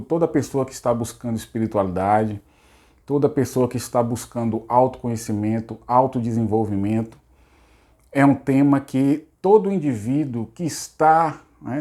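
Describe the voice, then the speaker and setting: A hoarse middle-aged man, a psychologist giving an unscripted self-help talk on spirituality, repeating himself and asking rhetorical questions. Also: mid-range voice, mellow. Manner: repetitive, rhetorical, casual asides. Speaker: psychologist hosting a channel.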